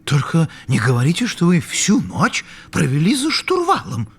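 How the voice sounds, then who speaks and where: A man's voice: a little high, wildly swooping pitch, moderate pace, slightly rough, full character voice. A man playing a pedantic older animal scholar in an educational cartoon, speaking in formal, fussy, didactic phrases. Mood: reproachful. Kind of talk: expressive educational cartoon acting.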